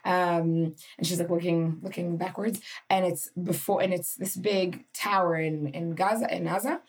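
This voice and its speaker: Woman, teacher co-host, slightly low voice, rambling, hesitant. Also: fairly even intonation, moderate pace. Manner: rambling, hesitant, many restarts. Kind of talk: podcast conversation.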